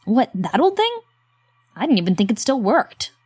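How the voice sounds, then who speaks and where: A woman's voice: a little high, very animated, moderate pace, darkish tone, slightly rough, no room sound. An actress playing an exasperated young woman scolding a friend in an audio drama, sarcastic and protective.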